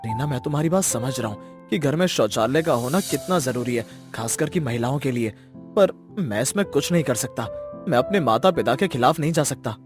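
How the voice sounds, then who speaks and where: A man acts out a village man in a cartoon moral story. He is stern and easily indignant, speaking at a middle pitch. Music plays clearly underneath. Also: animated, a little fast, lightly acted. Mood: apologetic, firm. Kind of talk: acted cartoon story dialogue.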